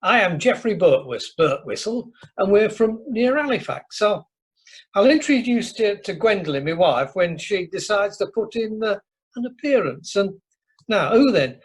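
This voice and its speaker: An actor playing a boastful, jovial older husband with a Yorkshire accent, hearty and repetitive, in an amateur radio comedy. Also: male voice, high-pitched, big pitch swings, normal speed, husky, exaggerated voice, poor audio. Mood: jovial, expansive. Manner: hearty, repeats himself.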